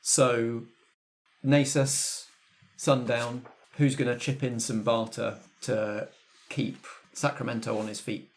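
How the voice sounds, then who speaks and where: A man's voice: medium pitch, little pitch movement, takes it slowly. A man speaking conversationally as game master, explaining the rules of a tabletop game to his players.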